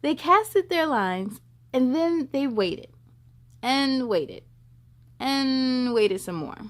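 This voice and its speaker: A woman's voice: high-pitched, animated, extremely slow. A woman hosting children's story time, reading expressively and dramatically and chatting directly to young viewers.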